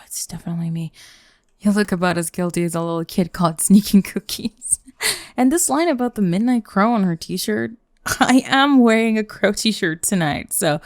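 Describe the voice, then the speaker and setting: A woman's voice: lowish voice, no echo. A young woman playing a sardonic goth bully girl in an intimate ASMR roleplay, grumbling and teasing the listener up close. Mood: smug teasing. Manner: teasing, grumbling, sighing, dropping into breathy murmurs. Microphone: close microphone, dry.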